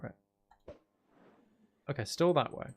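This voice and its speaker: A man doing casual live gameplay commentary, rambling and thinking aloud as he chats with viewers. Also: slow. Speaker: video game livestreamer.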